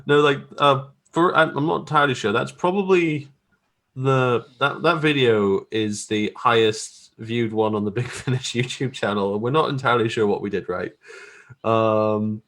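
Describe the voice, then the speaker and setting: A man's voice: mid-range voice, moderate pace, a bit bright. A man chatting casually as an interview guest, modest and hesitant, circling back over his phrases. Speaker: guest graphic artist.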